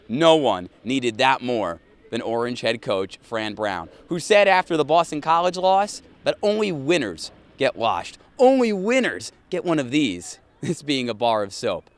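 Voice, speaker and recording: A man working as a sports anchor and reporter, with a playful, emphatic broadcast delivery. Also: fairly high pitch. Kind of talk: sports broadcast narration and desk banter.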